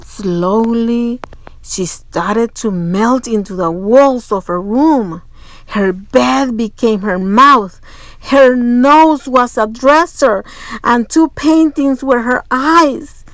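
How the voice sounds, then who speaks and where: Casting: female picture-book narrator, expressive, unhurried pace, dry close sound. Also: fairly high pitch, no room sound. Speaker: storybook reader. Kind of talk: children's read-aloud narration.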